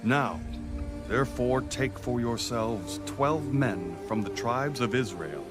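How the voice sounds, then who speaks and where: Male actor as ancient Israelite leader, very slow, declamatory, solemn, commanding, music underneath. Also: medium pitch, lively intonation. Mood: solemn proclaiming. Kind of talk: dramatized audio Bible acting.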